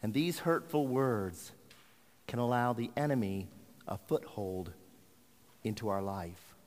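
A man preaching a sermon in earnest, deliberate phrases. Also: lowish voice, animated, a little mellow. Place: large hall. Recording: echoey hall with long reverb.

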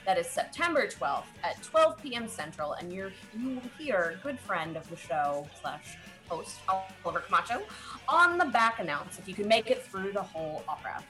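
Bubbly woman cohost, bright crisp voice, playful, music faintly under. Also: medium pitch, very animated, moderate pace. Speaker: co-host. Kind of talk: casual chat.

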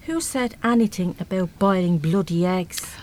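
A woman's voice: fairly low pitch, normal speed, a little mellow, natural voice. An actress with an Irish accent playing a brash, big-hearted, uneducated working-class mother in a live radio play, talking bluntly and colloquially with mild swearing. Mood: indignant.

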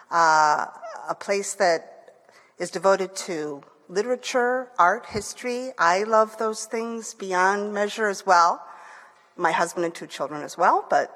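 A woman's voice: mid-range voice, fairly bright tone. Enthusiastic Michigan woman in a reverberant hall. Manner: enthusiastic, with fillers and restarts. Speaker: author. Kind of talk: book talk.

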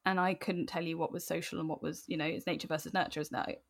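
A woman's voice: deep voice, fairly even intonation, fast talker, vocal fry. A woman speaking candidly and informally as an interview guest, animatedly telling personal stories. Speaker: podcast guest.

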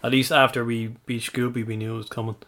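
Irish male podcast host, casual and chatty, quick to laugh, self-correcting. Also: mid-range voice, flat delivery, a bit bright. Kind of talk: casual chat.

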